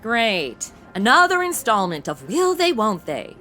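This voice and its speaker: Woman playing snarky modern gal sidekick, highish bright voice, blunt, super animated. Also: a little slow, soft background music, full character voice. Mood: sardonic. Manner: sarcastic, casual, blunt. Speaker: show's lead and host. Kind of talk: comedy audio drama acting.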